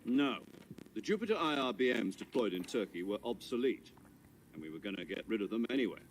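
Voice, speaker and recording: An actor playing a composed intelligence commander in a video game, giving a grave, measured and formal briefing. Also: male voice, lowish voice, sing-song, a little hiss or hum. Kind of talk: dramatic scripted mission briefing in a video game cutscene. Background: music.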